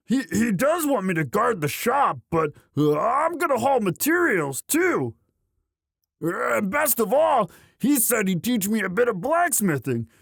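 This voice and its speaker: A man playing a young orc, a gentle himbo sweetheart who talks in a halting, stammering, bashful and earnest way in a romantic roleplay audio. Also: high-pitched, sing-song, vocal fry, no room sound, studio quality, full character voice. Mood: excited, sheepish.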